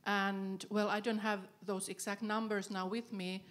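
Woman, 40s, panelist, handheld mic, thoughtful, pausing with fillers. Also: mid-range voice, little pitch movement, fairly bright tone. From Finland. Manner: thoughtful, frequent filler pauses. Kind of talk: panel discussion. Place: event stage with panel tables, indoors.

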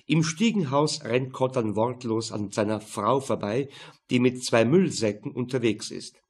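A man with an Austrian accent narrating a crime novel in a matter-of-fact, slightly husky voice. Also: medium pitch, a little mellow. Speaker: audiobook narrator.

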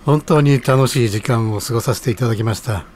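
Over some background noise, a man acts out a middle-aged ramen stall keeper in a radio drama. He is genial, humble and very courteous, with an average-pitched voice. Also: brisk, darkish tone, lightly acted. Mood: humble, grateful. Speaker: voice actor in an audio drama. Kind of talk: audio drama dialogue.

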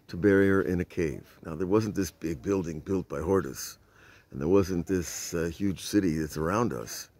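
An older man with a lowish voice gives an informal Torah lesson, filmed on a phone at arm's length outdoors at night. He tells the story conversationally and puts rhetorical questions to his listeners. The sound is dry and close, with little room echo. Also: a little mellow. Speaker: rabbi teaching. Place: outdoors at night by old stone walls. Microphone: phone camera at arm's length.

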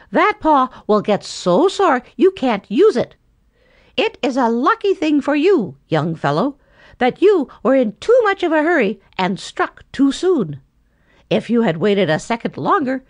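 A woman reading a storybook and voicing a bossy male blue jay in a shrill, scolding tone. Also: no echo. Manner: shrill, scolding, high-pitched.

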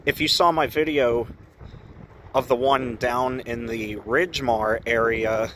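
A man vlogging casually as he walks around an empty building outdoors, chatty and quick to get indignant, with a phone camera's sound. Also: mid-range voice, steady tone, a bit bright, a little hiss or hum. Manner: chatty, ranting, easily indignant. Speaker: urban explorer vlogger. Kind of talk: casual walk-around narration.

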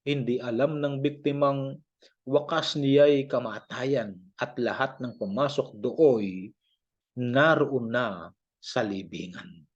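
A Filipino man reading scripture from a script as an audio Bible, in a measured, declamatory way. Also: medium pitch, slightly rough, highs cut off.

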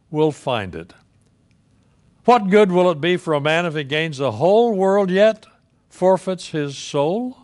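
An elderly male pastor delivers a sermon from the pulpit of a church sanctuary, with a slightly high voice. He speaks very slowly and deliberately, leaning on pauses for emphasis. The sound comes from the pulpit microphone. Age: older.

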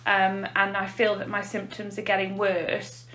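A woman's voice: mid-range voice, completely flat delivery, unhurried, pushed and bright, a little hiss or hum. An English woman, a nurse specialist, interviewing a colleague with plain scripted questions.

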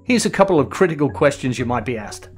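A middle-aged man narrates a diving lesson in a calm, even, explanatory voice at a medium pitch. He reads a script steadily, and soft music plays quietly behind him. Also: fast, slightly rough. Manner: calm explanatory, acts out signals as quoted lines. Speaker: host. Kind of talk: scripted voice-over instruction.

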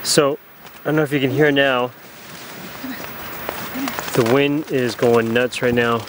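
A middle-aged man talking to a camera held close inside a small tent at night, at a middling pitch. He rambles and restarts his sentences as he recalls events. Loud wind and rain hammer the tent behind him. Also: steady tone, a little slow, crowd noise. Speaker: canoe trip vlogger. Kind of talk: casual narration to camera. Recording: outdoor storm noise. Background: wind, rain and pine needles on the tent.

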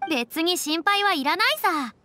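Proud fallen young goddess, high, bright and stagey, boyishly grand, for an anime game. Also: female voice, expressive, unhurried, no room sound, full character voice. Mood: grandly confident. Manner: grandiose, boyish phrasing, bluffing confidence. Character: theatrical, proud, tomboyish young former water goddess. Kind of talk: Japanese anime-style game acting.

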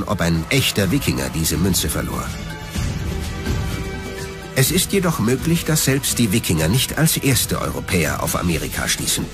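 A man reading scripted documentary narration as the narrator of a history programme. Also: on the low side.

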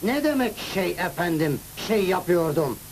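A man's voice: high-pitched, big pitch swings, moderate pace, rough recording. An actor playing a stern, indignant district governor in a cartoon, formal and commanding as he questions people. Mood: stern, questioning. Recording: hissy old cartoon soundtrack.

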